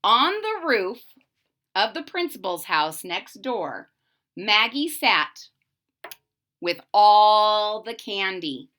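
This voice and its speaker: A woman reading a picture book aloud to children in a dramatic way, pausing to put questions to young listeners. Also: mid-range voice, big pitch swings, bright, forceful tone.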